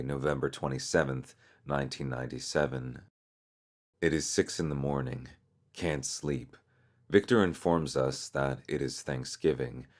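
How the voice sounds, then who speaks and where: A man reading scripted story narration as a narrator. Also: very deep voice.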